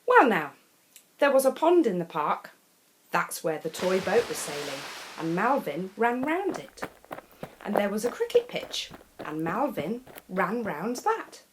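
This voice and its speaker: A woman's voice: mid-range voice, big pitch swings, measured pace, slight background noise, poor audio. A woman tells a children's story expressively, with theatrical delivery and chatty asides to the listener. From England. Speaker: storyteller reading a children's picture book.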